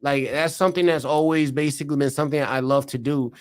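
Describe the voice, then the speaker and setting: A man's voice: mid-range voice, steady tone. A man co-hosting a podcast, talking casually in loose slang. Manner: loose slangy talk, drawn-out asides. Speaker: podcast co-host. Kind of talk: casual chat.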